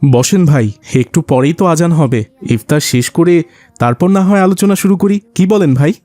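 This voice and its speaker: A man's voice: on the high side, lively intonation, fairly quick, mellow, dry recording, soft background music, lightly acted. A man playing a mild, hospitable father in a cartoon drama, courteous and agreeable. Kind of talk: cartoon dialogue.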